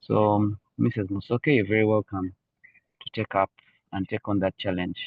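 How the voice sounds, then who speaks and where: A low, husky male voice opens a business call, hesitating and restarting his phrases. The sound is dry and thin, like a phone line. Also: steady tone, normal speed, no room sound. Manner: hesitant, many fillers and restarts. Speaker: call host.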